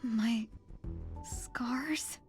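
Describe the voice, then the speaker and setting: An actress voicing a lost, timid young woman with amnesia in a video game, soft, breathy and hesitant, often near a whisper. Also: a little high. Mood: uneasy, questioning. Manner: hesitant, halting, hushed. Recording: game audio under music. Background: game music.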